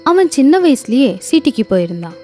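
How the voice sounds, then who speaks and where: A woman narrating an animated horror story in a lively storytelling manner. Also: high voice, fairly quick, soft background music.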